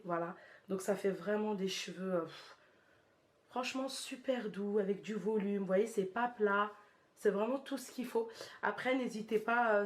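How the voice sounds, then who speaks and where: Congested woman chatting through a hair tutorial. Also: medium pitch. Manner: chatty, slangy, talks while demonstrating. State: stuffy and congested from a cold. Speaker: beauty vlogger. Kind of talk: casual hair tutorial chat.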